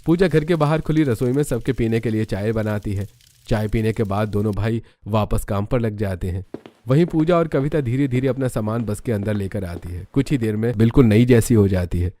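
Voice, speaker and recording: Mellow male story narrator. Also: fairly low pitch, a little fast.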